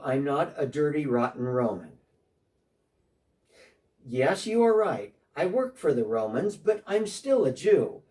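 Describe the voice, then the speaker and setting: A man's voice: on the high side, darkish tone, far from the mic. A man playing a defensive, talkative tax collector in a church dramatic reading, speaking one side of a phone call.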